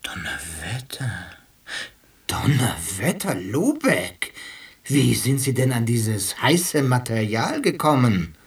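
An actor playing a brisk, self-assured senior salesman who instructs a new colleague in a German radio play. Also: medium pitch, big pitch swings, far from the mic. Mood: astonished.

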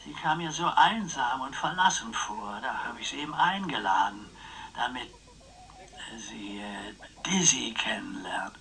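An actor playing a roguish, chatty older uncle in a radio crime drama, teasing, with florid relish. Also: male voice, medium pitch, expressive, measured pace, creaky, sharp tone, heavily acted, rough recording. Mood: rapturous, roguish. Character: roguish, chatty old uncle, womanising bon vivant.

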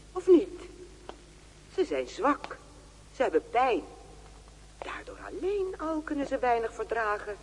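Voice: medium pitch, a little mellow, husky, big-room sound, exaggerated voice, low-quality recording. An actor in a radio drama playing a senior nurse sternly lecturing a colleague with rhetorical questions.

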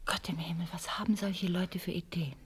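A stubborn, superstitious mother in a radio drama. Her voice is fairly low for a woman and husky. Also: fairly even intonation, a little fast. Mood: amused disapproval. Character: stubborn, superstitious mother and wife, easily amused. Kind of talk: radio play acting.

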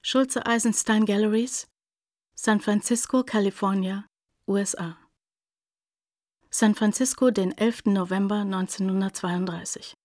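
An actress playing a formal, impersonal reader of addresses in a radio play, deliberate and matter-of-fact. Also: female voice, medium pitch, fairly even intonation, a little mellow, dry recording, clean studio sound, close to an everyday voice.

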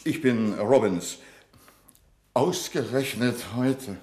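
An actor playing a pedantic, curt bank boss with dry, sarcastic authority in a radio crime comedy. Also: male voice, mid-range voice, lively intonation, slow, darkish tone, reverberant. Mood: curt, weary.

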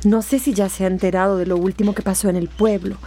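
An actress playing a respectful, gossipy office assistant in a radio drama. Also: female voice, medium pitch, steady tone, quick pace, dark tone, slight background noise, natural voice. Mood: polite, gossipy. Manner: respectful, sighing, chatty. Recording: faint music behind.

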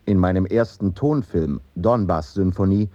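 A fervent Soviet film pioneer in a radio play. The voice is low and husky, with declamatory delivery. The sound is a little dull and lo-fi. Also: male voice, normal speed, no echo. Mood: proud, declarative. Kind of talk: German radio play acting.

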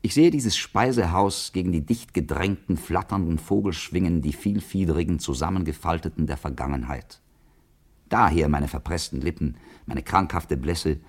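A low-voiced bitter male outsider in a radio drama. He broods in a measured, literary monologue, self-conscious and set apart from everyone around him. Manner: measured, literary, introspective delivery.

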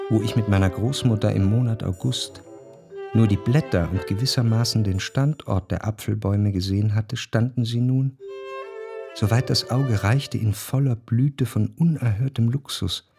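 An actor playing a nostalgic gentleman narrator in a literary radio drama, recalling his past in contemplative, lyrical, measured phrases. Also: mid-range voice, gravelly. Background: faint instrumental music.

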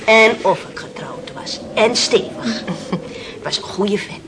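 Chatty village housewife, heavy noise. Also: female voice, fairly high pitch, slow. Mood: jolly gossip. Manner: chatty, exclaiming. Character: talkative, earthy village housewife, gossiping with neighbours. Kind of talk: stage-like radio play acting. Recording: very noisy old radio play recording.

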